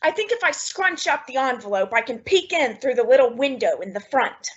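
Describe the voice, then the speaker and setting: A woman playing a dramatic, scheming housewife in an old-time radio sitcom, with exaggerated, drawn-out comic emphasis. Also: sits high, big pitch swings. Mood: nosy, curious. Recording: dull lo-fi old radio recording.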